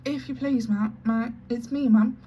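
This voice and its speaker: A woman's voice: fairly high pitch, fairly even intonation, unhurried, dark tone, a little hiss or hum, pitched above the performer's own voice, close to an everyday voice. A woman doing a timid, weepy maid girl. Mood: pleading.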